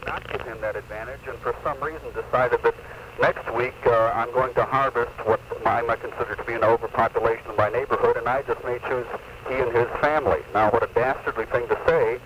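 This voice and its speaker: An earnest man with a mid-pitched voice talks in wordy, formal sentences on talk radio. The 1970s AM cassette recording is muffled and buried in loud noise. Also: fairly even intonation, fairly quick.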